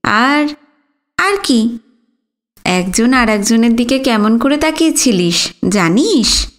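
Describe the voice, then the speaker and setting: Woman reader as teasing big sister, young, playful, easily flustered, slow storybook dialogue. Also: fairly high pitch, animated. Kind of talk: audiobook character dialogue.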